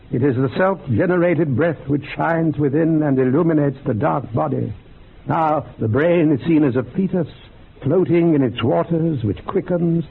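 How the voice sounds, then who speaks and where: A man's voice: a little high, vocal fry, slightly rough. An actor in a radio horror drama playing a learned occult yoga teacher, solemn and incantatory as he lectures. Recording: narrow phone-like radio recording.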